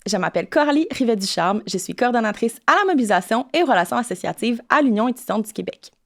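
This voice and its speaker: Quick-talking woman podcast host. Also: on the high side, animated. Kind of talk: casual podcast interview.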